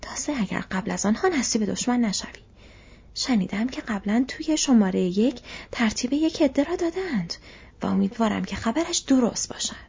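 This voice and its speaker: A woman voicing an insolent, quarrelsome male orc tracker in an audiobook, scoffing and grumbling in a husky, breathy voice. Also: fairly high pitch, lively intonation, a little mellow, heavily acted. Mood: furious, afraid. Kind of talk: audiobook character voice. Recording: dull, muffled lo-fi audio.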